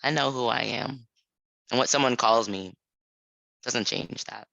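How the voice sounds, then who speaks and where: Man, low gravelly voice, bright edge, calm reflective storytelling, dry sound. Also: a little slow, dry recording, poor audio. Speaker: panelist. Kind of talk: reading a personal story. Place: library event room.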